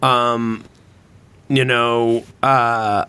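A man chatting casually on a podcast, reflective and opinionated, halting as he thinks aloud and swearing freely. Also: medium pitch, level tone, pushed and bright, clean tone.

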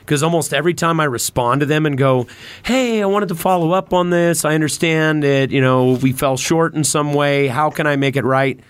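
A man chatting casually on a podcast, reflective and opinionated, halting as he thinks aloud and swearing freely. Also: fairly high pitch, smooth, dry recording.

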